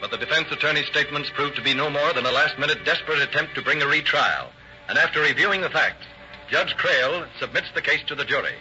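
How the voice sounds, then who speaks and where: A man's voice: fairly high pitch, expressive, fast, loud music behind the voice. A man announcing in a polished, emphatic 1930s radio style, reading scripted narration and sponsor copy. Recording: muffled, hissy old radio recording.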